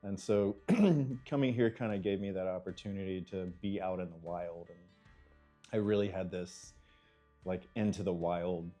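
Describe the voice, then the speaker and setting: Guest: American man, low and mellow, halting and reflective, faint music behind. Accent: American English. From United States. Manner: thoughtful, halting, clears his throat. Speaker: interview guest, engineer. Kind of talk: reflective interview answers.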